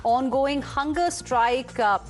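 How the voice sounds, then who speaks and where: A woman's voice: high voice, takes it slowly, soft background music. A woman with an Indian accent anchoring live television news in an emphatic way.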